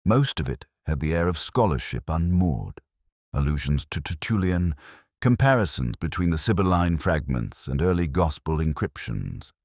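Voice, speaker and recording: A man with an extremely deep voice narrates a literary mystery in the first person. His delivery is brooding and deliberate, with a lively rise and fall across phrases. The sound is dry and close, with no room around it. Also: no echo.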